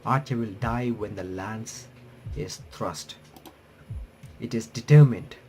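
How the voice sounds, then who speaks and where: A man reading game text aloud and adding casual, halting commentary as a playthrough streamer. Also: lowish voice, a little mellow, slight background noise. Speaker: YouTuber playing and reacting to a visual novel.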